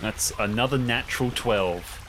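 A man's voice: mid-range voice, moderate pace, noisy background. A man speaking casually as a player in an improvised tabletop game, with joking asides.

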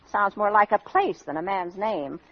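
A woman's voice: mid-range voice, measured pace. Sceptical bossy mother, vintage muffled radio. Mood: scornful gossip. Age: middle-aged. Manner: scornful gossiping, homely ungrammatical speech. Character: sceptical, bossy middle-aged working-class mother. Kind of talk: old-time radio comedy acting. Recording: muffled old radio broadcast recording.

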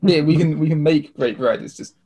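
A man's voice: on the high side, fairly quick, dark tone, breathy edge. A man chatting casually as a player in a tabletop game. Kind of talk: casual chat.

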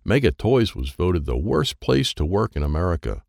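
A man voicing a pushy, matter-of-fact young girl who explains things briskly in a children's story. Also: sits low, big pitch swings, creaky, a little mellow, dry recording, clean studio sound, heavily acted.

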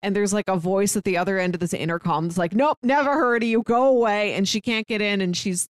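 A woman's voice: medium pitch, fast talker, dry recording, studio quality. A woman co-hosting a movie podcast, giving a casual, wry conversational recap. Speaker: podcast co-host reviewing films.

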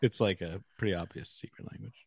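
Sex: man. Role: podcast host. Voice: gravelly, husky. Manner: joking, rambling questions. Sound: dry, close. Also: deep voice, quick pace, no room sound. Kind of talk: casual interview.

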